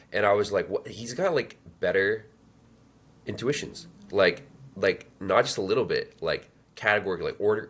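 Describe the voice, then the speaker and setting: A man in his thirties telling his life story as an interview guest, halting with many fillers and restarts. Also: low voice. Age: 30s. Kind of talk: reflective personal storytelling.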